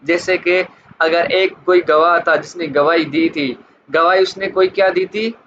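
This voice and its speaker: A man's voice: on the high side, fairly even intonation, a little slow, a bit bright. A man giving an explanatory law lesson, reading statutes aloud and explaining them in a repetitive, question-and-answer way. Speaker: law lecturer. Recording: dull lo-fi mic with light background noise.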